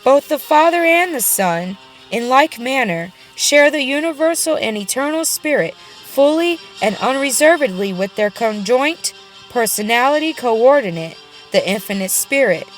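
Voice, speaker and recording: A woman reads a religious book aloud as an audiobook narrator, solemn and measured, pausing deliberately between phrases. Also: sits high, lively intonation, soft background music, poor audio. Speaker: audiobook reader. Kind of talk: scripted reading of a religious book.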